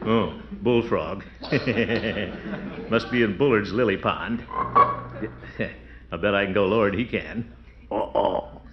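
An actor playing a pompous, blustering middle-aged uncle in a 1940s radio comedy, chuckling often. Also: male voice, mid-range voice. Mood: jovial. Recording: dull old radio recording, faint hiss.

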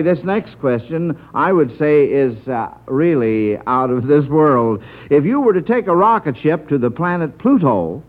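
A high-pitched American man works as a quizmaster on old-time radio. He talks with jovial, folksy showmanship, and the recording is dull and narrow like a vintage broadcast. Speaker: radio quiz show host.

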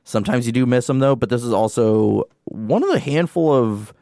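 A man co-hosting a casual gaming podcast, chatty and enthusiastic, with quick asides. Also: medium pitch, animated, creaky, mellow, no echo, clean studio sound. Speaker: podcast co-host.

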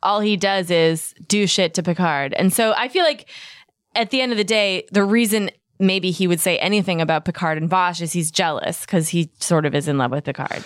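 A woman's voice: lowish voice, normal speed, dry recording, clean studio sound. A woman co-hosting a podcast, chatting in a wry, self-mocking way. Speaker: podcast co-host. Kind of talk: casual chat.

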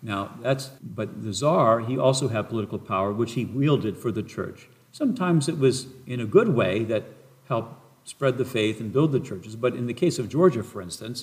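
A man's voice: medium pitch, animated, moderate pace. Male priest, interview guest. Reflective, meandering delivery, average register. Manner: thoughtful, rambling with restarts. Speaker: parish priest. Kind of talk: casual interview answers.

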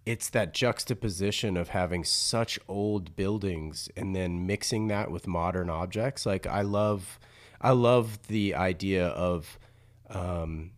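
A man's voice: low voice, slow. A man hosting a podcast, chatty and enthusiastic as he interviews his guest. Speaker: podcast host. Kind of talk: casual interview chat.

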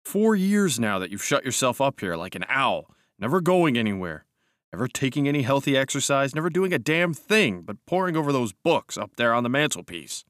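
A brash young cavalry officer in a storybook reading, hearty and teasing, his voice pushed noticeably higher as a man puts him on. The sound is dry and close, with no room around it. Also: sing-song, brisk, fairly bright tone, no echo. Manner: hearty, teasing, raised pitch.

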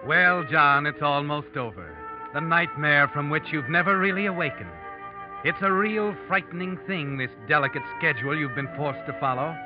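An actor playing an all-knowing, taunting narrator in a 1940s radio suspense show, dramatic and menacing. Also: male voice, a little high, normal speed, lightly acted. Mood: ominous. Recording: dull old radio recording. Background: prominent dramatic music.

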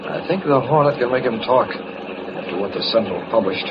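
An actor playing a commanding newspaper boss and crime fighter in American radio drama, brisk and authoritative. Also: male voice, mid-range voice, lively intonation, lightly acted. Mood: hushed, urgent. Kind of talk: old-time American radio drama acting. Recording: muffled, hissy vintage radio recording.

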